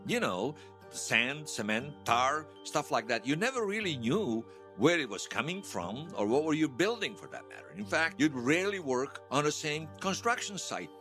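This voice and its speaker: A man's voice: a little high, big pitch swings, moderate pace, bright, forceful tone, soft background music. An actor playing a wry, folksy Bosnian man who tells his past in an audio drama interview. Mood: wry, explanatory. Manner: wry storytelling with colourful folk sayings. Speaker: storyteller being interviewed.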